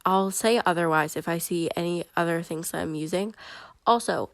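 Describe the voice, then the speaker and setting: A woman's voice: lowish voice, dry recording, studio quality. Stuffy-nosed chatty woman vlogger. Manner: chatty and excitable, many fillers. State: stuffy from a cold. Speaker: bullet journal vlogger. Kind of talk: casual voiceover narration.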